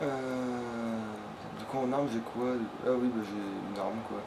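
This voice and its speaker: A man plays a video game and comments as he goes in a casual, slangy way. He swears freely and talks as if to a friend beside him. The recording has clear background noise. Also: medium pitch, fairly even intonation, measured pace. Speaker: let's player. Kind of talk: casual gameplay commentary, chatting with a partner. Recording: clearly noisy home recording.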